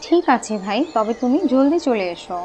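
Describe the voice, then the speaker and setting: A woman's voice: fairly high pitch, expressive, normal speed, darkish tone, a little hiss or hum, natural voice. A woman voicing a caring young sister in a fairy-tale cartoon.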